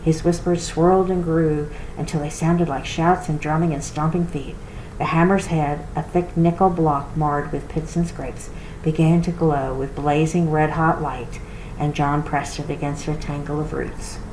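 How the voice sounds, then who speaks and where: A woman reading a storybook aloud as narrator for a library, with expressive delivery. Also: low voice, unhurried. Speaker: reader for a library read-aloud. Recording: roomy echo with clear noise.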